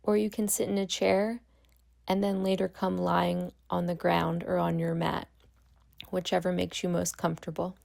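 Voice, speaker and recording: A woman guiding a meditation as a yoga nidra instructor, speaking calmly and gently with long pauses. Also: fairly low pitch, vocal fry. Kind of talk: guided meditation.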